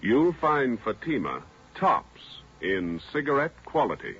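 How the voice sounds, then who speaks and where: A man's voice: medium pitch, big pitch swings, unhurried, a bit bright, slightly rough. An American man announcing a 1940s radio show in formal, emphatic scripted lines. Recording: dull, faintly noisy 1940s radio recording.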